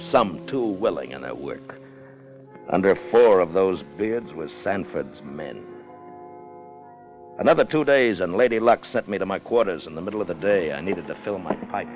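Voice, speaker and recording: An actor playing a hard, cunning first officer on a whaling ship in clipped, tough 1950s radio drama style. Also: male voice, deep voice. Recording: muffled old radio recording with music.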